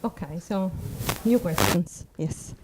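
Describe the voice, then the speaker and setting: A woman moderating the question session, speaking in a brisk, agreeable way. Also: lowish voice, expressive. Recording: noisy recording with clicks.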